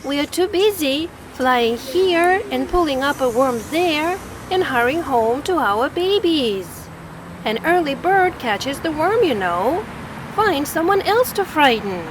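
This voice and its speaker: Busy birds, voiced by a woman, high, loud, scolding, storybook. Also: big pitch swings, slow, background noise, exaggerated voice. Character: busy bird parents, brisk and hurried.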